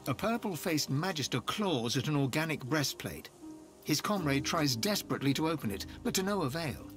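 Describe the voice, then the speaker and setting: A man giving scripted, measured narration for a game. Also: fairly high pitch, very animated. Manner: measured, descriptive. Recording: game audio under music.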